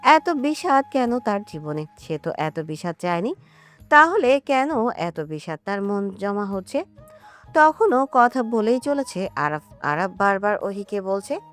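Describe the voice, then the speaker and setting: A woman's voice: medium pitch, very animated, unhurried. A woman narrating a scripted romance story with emotive, melodramatic delivery. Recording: music under the voice.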